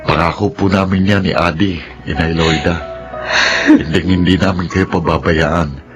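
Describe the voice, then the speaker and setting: An actor playing a steady, dutiful young man in a radio drama, speaking calmly and offering advice. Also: deep voice, normal speed, close to an everyday voice. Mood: earnest. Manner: calm, advising. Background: faint music.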